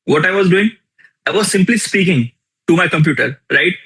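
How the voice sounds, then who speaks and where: Energetic Indian man teaching a workshop. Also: high-pitched, normal speed, husky. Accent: Indian English. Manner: energetic, repeats phrases, asks the audience questions. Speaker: workshop instructor. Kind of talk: live online tool demonstration.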